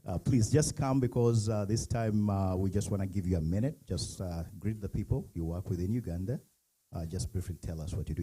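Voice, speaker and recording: Male emcee, very low and dark voice, a bit husky, brisk and coaxing. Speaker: master of ceremonies. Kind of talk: event emcee talk.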